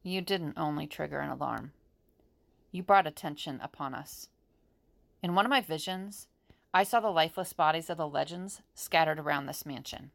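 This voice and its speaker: Woman acting as grave, gravelly-voiced female seer. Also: low voice, moderate pace, fairly bright tone. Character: grave woman seer named Ali, calm and commanding. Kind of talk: audio drama acting.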